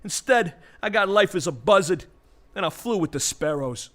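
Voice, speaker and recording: Sarcastic vulture, high-pitched, swooping intonation, bitter ranting, comic audio play. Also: male voice, expressive, normal speed, fairly bright tone. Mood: aggrieved ranting. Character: bitter, wisecracking male vulture with thwarted ambitions.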